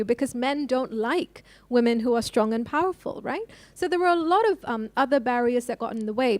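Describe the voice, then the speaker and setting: A woman's voice: on the high side, darkish tone, no echo. A woman from Hong Kong who works as a lawyer, talking on a panel in a thoughtful way with many "uh"s and restarts. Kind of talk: panel discussion.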